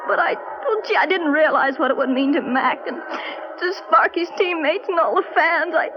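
Meek, high-voiced contrite young wife. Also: female voice, expressive, normal speed. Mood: remorseful, tearful. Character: contrite, naive ballplayer's wife confessing meekly. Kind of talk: old-time radio drama acting. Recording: noisy, muffled vintage radio broadcast.

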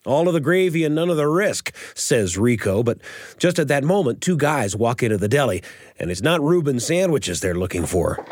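A man's voice: medium pitch, very animated, moderate pace, no room sound. A man hosting a radio show, chatting and explaining as he retells a story in storytelling style from a studio. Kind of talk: animated storytelling commentary on an old radio show. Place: dry studio.

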